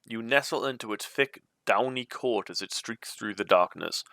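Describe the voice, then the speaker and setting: A man's voice: on the low side, moderate pace, vocal fry, pushed and bright, no echo. A man hosting a read-aloud of a gamebook, moving between storybook narration and informal, chatty commentary. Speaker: host reading a gamebook aloud.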